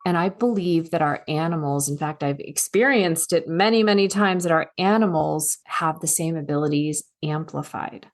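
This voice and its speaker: A soft-spoken woman speaking as a guest coach in a casual podcast conversation, telling stories with little acted asides. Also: low voice, normal speed, few pauses.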